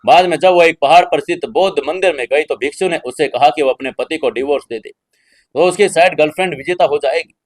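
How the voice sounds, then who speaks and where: A man's voice: a little high, fast talker, no room sound. A man narrating a scripted audio drama serial in a storytelling style.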